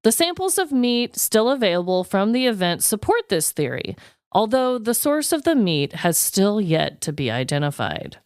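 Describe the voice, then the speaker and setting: A woman co-hosting a podcast, chatting and reading stories aloud in an animated, exclaiming way. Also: medium pitch, normal speed, no echo, studio quality. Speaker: podcast co-host.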